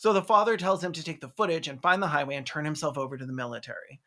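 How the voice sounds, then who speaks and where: A video essayist giving a sarcastic, comedic movie recap, with snarky deadpan asides and mock-dramatic exclamations. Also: medium pitch, rapid-fire. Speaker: video essayist, film reviewer.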